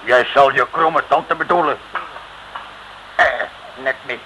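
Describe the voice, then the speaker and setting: Sharp, forceful, surly pub bruiser. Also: male voice, medium pitch, takes it slowly, poor audio. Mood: irritated. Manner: surly, blunt grumbling. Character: big, gruff, irritable male billiard player. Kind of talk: 1960s Dutch radio comedy serial acting. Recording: old radio recording, faint noise.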